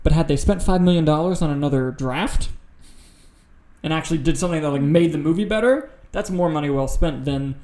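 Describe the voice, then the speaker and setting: A man's voice: a little high, fairly quick, warm tone. A man co-hosting a podcast, chatting casually about film news in an opinionated, sweary, informal way. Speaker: podcast co-host.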